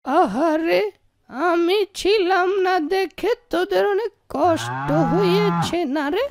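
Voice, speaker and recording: A woman voicing a gullible, tender-hearted male village landlord in a cartoon, theatrical and drawn-out. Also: extremely high pitch, creaky, no room sound, clean studio sound, exaggerated voice. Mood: pitying. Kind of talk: exaggerated cartoon dubbing.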